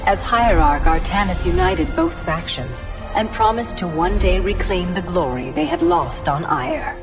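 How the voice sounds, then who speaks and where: A woman acting as a solemn, measured chronicler, narrating a galactic war saga for a game cinematic. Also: fairly low pitch, lively intonation. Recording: prominent orchestral music behind. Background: music.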